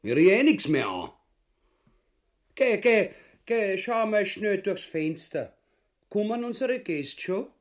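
Gluttonous, blustering Austrian oaf, drawling. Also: male voice, sits high, very animated, slow pace, long pauses, heavily acted. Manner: coarse, blustering, swearing. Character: gluttonous, crude, cowardly grotesque usurper husband. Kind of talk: grotesque stage comedy acting.

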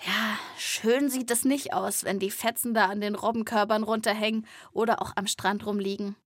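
A woman's voice: mid-range voice, fairly even intonation, normal speed, dry recording. A woman hosting a children's podcast, telling stories as scripted narration and addressing young listeners directly, recorded in a studio.

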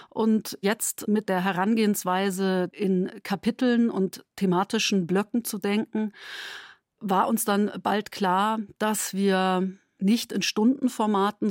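A woman's voice: medium pitch, darkish tone, no room sound, studio quality. A woman who makes radio drama, explaining how a production was put together. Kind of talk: explanatory making-of statement.

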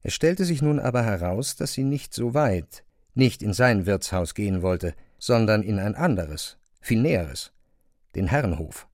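A man's voice: lowish voice, lively intonation, a little slow, a little mellow, no room sound, clean studio sound. A man reading scripted literary narration as the narrator of a drama.

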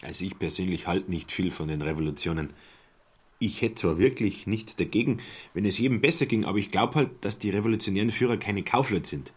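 A self-satisfied, wary trader in a literary radio play. His voice is low and rough-edged. He talks plainly and mistrusts whatever he hears. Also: fairly quick. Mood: self-assured. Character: smug, mistrustful small-time businessman traveling by train. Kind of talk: literary radio drama dialogue.

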